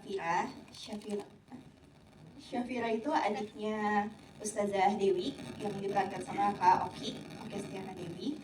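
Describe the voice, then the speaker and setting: A woman's voice: mid-range voice, steady tone, moderate pace. An actress, a woman explaining her part at a press conference. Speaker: actress describing her role. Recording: echoey room, distant mic, background noise.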